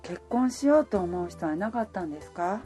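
A woman's voice: on the low side, lively intonation, a little fast, mellow, faint music behind, close to an everyday voice. A woman playing a romantic, easily flustered working woman, in radio drama dialogue and first-person narration. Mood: flustered, bright.

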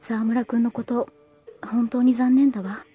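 Woman acting husky, consoling best friend. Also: on the high side, flat delivery, unhurried, highs cut off. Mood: sympathetic, saddened. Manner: gentle, consoling. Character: heroine's sympathetic, comforting female friend. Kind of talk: radio drama dialogue.

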